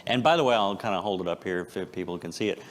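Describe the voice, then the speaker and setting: A man's voice: low-pitched, very fast, slight background noise. Conversational male pastor giving an informal sermon. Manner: joking, self-deprecating, with rhetorical questions. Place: church service, speaking before a congregation.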